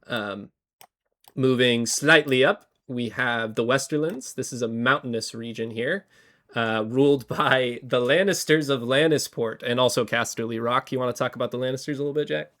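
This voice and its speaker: A man co-hosting a podcast, slightly hoarse from a cold, talking casually with lots of fillers and restarts. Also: mid-range voice, moderate pace, fairly bright tone. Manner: rambling, many fillers and restarts. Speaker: podcast co-host. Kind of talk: casual history chat.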